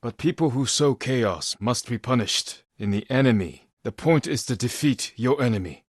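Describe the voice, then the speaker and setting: An actor playing a grave, resolute samurai warrior in a video game, speaking in measured, solemn, terse lines with a husky voice. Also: male voice, medium pitch, lively intonation, no room sound, clean studio sound. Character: stoic, honour-bound samurai warrior, adult man, grave and resolute. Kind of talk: dramatic scripted game dialogue.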